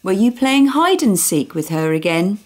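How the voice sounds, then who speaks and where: A woman's voice: medium pitch, very animated, normal speed, voice pushed up, lightly acted. A woman reading as a wry, kindly mum. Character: wry, kindly mother of a big family.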